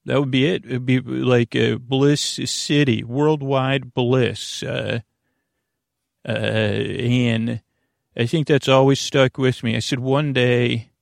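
A middle-aged American man with a gravelly voice hosting a sleep podcast, rambling haltingly, repeating himself and trailing off mid-thought. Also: mid-range voice, fairly even intonation, no room sound, studio quality. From United States. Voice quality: gravelly, creaky. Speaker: podcast host.

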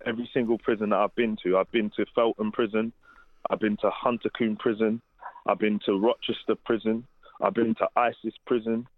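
A man's voice: fairly low pitch, little pitch movement, a little fast, dry recording. British man on a tinny phone line. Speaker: interview guest, former prisoner. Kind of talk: casual, unscripted interview answers. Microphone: phone call.

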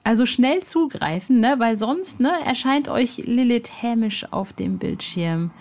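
A woman hosting a podcast in a lively, informal and chatty way, with playful asides. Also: fairly high pitch, measured pace. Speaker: podcast host.

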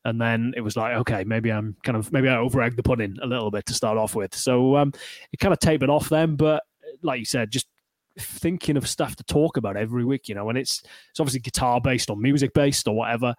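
A man's voice: mid-range voice, fast, no room sound. A Welsh man talking casually as a podcast guest, rambling with lots of fillers and restarts. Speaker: guitarist and YouTuber, guest.

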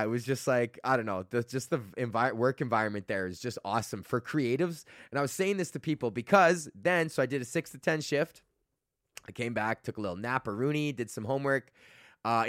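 A man's voice: mid-range voice, normal speed, fairly bright tone, no echo, clean studio sound. A young man from Canada, hosting his own podcast, rambling in a loose, profane rant packed with "like". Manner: loose and profane, heavy use of "like". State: recovering from losing his voice. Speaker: podcast host. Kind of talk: casual solo rant.

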